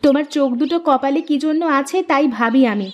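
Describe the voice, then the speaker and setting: A woman playing a put-upon young daughter-in-law in a cartoon, expressive and sharp-tongued, prone to sarcastic complaint. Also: high voice, fast talker, slight background noise, close to an everyday voice. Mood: aggrieved, sharp. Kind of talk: cartoon voice acting, fairy-tale drama.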